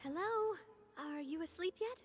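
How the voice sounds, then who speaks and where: A woman's voice: extremely high pitch, lively intonation, measured pace, background noise. A woman voicing a confident, easygoing young woman in a video game who teases her friends and laughs often. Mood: friendly. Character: confident young woman leader, warm and playful. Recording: game audio with faint music underneath.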